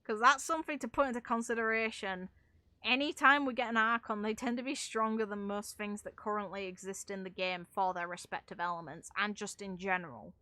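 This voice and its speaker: A woman's voice: mid-range voice, fairly even intonation, a little fast, bright, forceful tone, no echo. A woman doing casual gaming commentary as a streamer, rambling and opinionated with some casual swearing. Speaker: game streamer.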